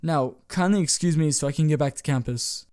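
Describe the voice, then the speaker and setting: A man's voice: fairly high pitch, a little fast, darkish tone, no room sound, clean studio sound, close to an everyday voice. A man playing a guarded young vampire son. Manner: defensive, curt, exasperated. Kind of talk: amateur roleplay voice acting.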